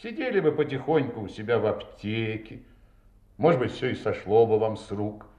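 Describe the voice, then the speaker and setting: A shrewd, self-assured police inspector in a radio play. His delivery is theatrical and emphatic, with a very mobile pitch. The recording is roomy and slightly dull. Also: sing-song, normal speed. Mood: stern, accusing. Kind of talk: Russian audio drama acting.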